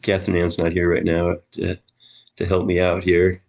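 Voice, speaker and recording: Man, mid pitch, gravelly, easygoing discussion leader on a video call. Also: completely flat delivery, normal speed, a little mellow. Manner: friendly, chuckling, thinking aloud with restarts. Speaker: study group facilitator. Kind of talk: casual group discussion.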